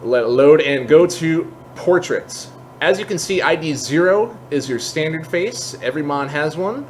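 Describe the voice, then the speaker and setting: A man's voice: a little high, lively intonation, normal speed. Man giving a casual tutorial, background noise. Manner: explanatory, informal, thinking aloud. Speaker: tutorial host. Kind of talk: casual screen-share software tutorial. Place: indoors at a computer, voice-over for screen capture.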